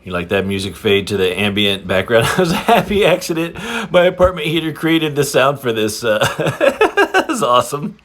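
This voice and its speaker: A man chatting casually through a toy unboxing and review, with an excitable, giggly fan's enthusiasm. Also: mid-range voice, normal speed. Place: indoors in an apartment.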